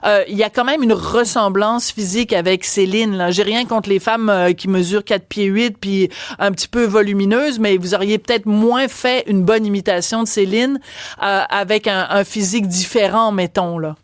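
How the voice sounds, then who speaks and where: A woman's voice: medium pitch, no room sound. Woman, Québécois accent, radio host, teasing chatty delivery, dry close sound. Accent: Québécois French. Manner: chatty, teasing, quick to laugh. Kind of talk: casual radio interview.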